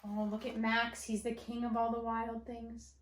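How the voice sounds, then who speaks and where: A woman teacher giving an expressive picture-book read-aloud for children, dramatic, with gasps, whispers and emphasis. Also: fairly high pitch, normal speed, reverberant, slight background noise. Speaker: elementary school teacher.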